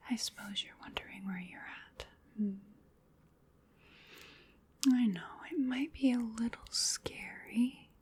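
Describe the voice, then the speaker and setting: A woman playing an ancient vampire in an ASMR roleplay, whispering breathily in a slow, genteel, soothing manner with quiet menace. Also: on the high side, breathy edge, heavily acted. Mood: coaxing, quietly menacing. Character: ancient female vampire, sinister yet coaxing and polite.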